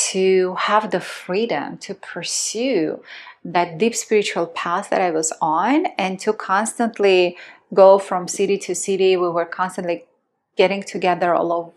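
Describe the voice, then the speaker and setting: Earnest woman, low-pitched. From Armenia. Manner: earnest and emphatic, repeats words for stress, slows down on key phrases. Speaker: love and relationship teacher. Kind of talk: personal storytelling.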